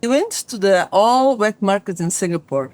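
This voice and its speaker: A woman's voice: mid-range voice, no room sound. A woman, an engineering professor, chatting as a guest and speaking English as a with many restarts and stops. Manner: halting, many restarts, animated when telling stories. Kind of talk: casual conversation.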